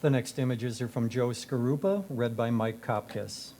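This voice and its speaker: A man's voice: medium pitch, normal speed, mellow, a little hiss or hum. A man making brief scripted announcements as the presenter of a slideshow. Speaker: presenter introducing photographers and readers.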